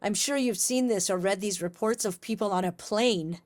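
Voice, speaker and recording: A woman's voice: medium pitch, moderate pace, a little mellow, dry recording. A woman hosting an interview podcast, asking curious questions and rephrasing them as she goes. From North America. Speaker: podcast host. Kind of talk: conversational interview.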